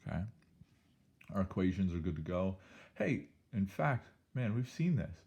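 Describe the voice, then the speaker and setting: A male math teacher, slightly hoarse, talking through an algebra problem in an upbeat, playful way with jokey exclamations. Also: low voice, very animated, normal speed, a little mellow, dull audio. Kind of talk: recorded algebra lesson walkthrough.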